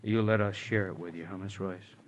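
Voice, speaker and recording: An actor playing a practical, plain-spoken police detective in an old radio drama, with a colloquial, matter-of-fact manner. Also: male voice, low-pitched, fairly even intonation, brisk, close to an everyday voice. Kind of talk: 1940s-50s radio drama acting. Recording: vintage radio recording with faint hiss.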